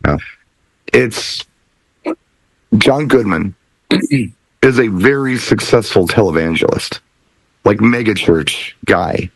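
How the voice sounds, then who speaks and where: A middle-aged man hosting a casual talk show, telling things in a rambling, animated way. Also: lowish voice, slow pace, vocal fry, long pauses, no room sound, rough recording. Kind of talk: casual chat.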